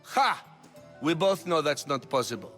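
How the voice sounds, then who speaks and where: A vain, theatrical playwright of ancient Greece in a video game, witty and self-mocking. His mid-pitched voice swoops expressively over faint music. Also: big pitch swings, measured pace, fairly bright tone. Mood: wry. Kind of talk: video game cutscene acting.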